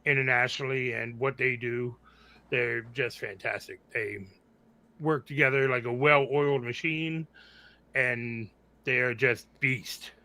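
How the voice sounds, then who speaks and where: Bright-voiced man co-hosting a reaction show. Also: medium pitch, fairly even intonation, unhurried. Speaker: co-host of a music reaction show. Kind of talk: casual reaction chat.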